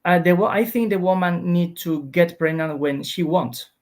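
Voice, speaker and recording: A male researcher with a Spanish accent speaks in a slightly high voice. He explains his work haltingly, often searching for words in his second language. The delivery is that of an interview guest. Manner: halting, searching for words in non-native English.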